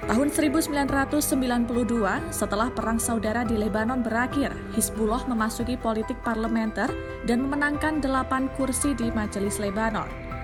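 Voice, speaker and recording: A woman with an Indonesian accent reads a scripted news explainer in a formal, measured manner. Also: on the high side. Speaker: news narrator. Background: background music.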